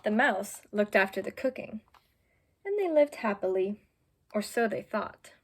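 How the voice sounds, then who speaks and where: A woman's voice: mid-range voice, lively intonation, a little slow, slight background noise. A young woman in her teens reading a children's picture book aloud, adding chatty, informal asides for young listeners. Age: teenager. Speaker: student volunteer reader.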